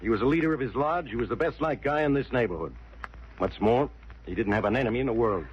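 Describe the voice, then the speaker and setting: A blustery, sarcastic police inspector acted by a man who presses and needles in 1940s radio style. The voice sits at a middling pitch and swings widely, on a dull old recording. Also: animated, fast, a little hiss or hum, close to an everyday voice. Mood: pressing.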